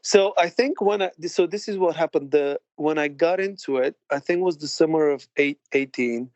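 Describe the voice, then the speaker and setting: Late-30s guy from bulgaria chatting on a podcast, smooth voice, dull dry audio. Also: a little high, darkish tone, no room sound. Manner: chatty, laughs often, halting restarts. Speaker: guest. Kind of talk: casual interview chat.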